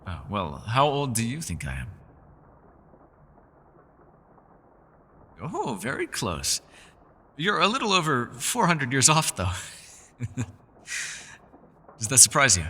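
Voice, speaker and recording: A man voicing a centuries-old elf in a fantasy roleplay, gentle, socially awkward and chatty, with formal, old-fashioned, apologetic phrasing. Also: mid-range voice, huge pitch swings, a little slow, pauses often, breathy edge, exaggerated voice. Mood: amused, chatty. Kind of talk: fantasy ASMR roleplay voice acting.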